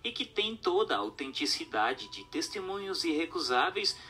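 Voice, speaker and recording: A man's voice: fairly high pitch, big pitch swings, moderate pace, sharp tone. A Brazilian man reading a book aloud as scripted narration, in a formal, didactic manner. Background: background music.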